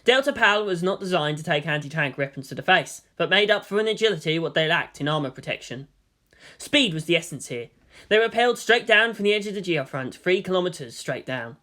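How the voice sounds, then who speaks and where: An animated audiobook narrator with a bright, forceful tone and a middling pitch. The reading is scripted and dramatic, rising and falling widely as the story is told. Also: a little fast.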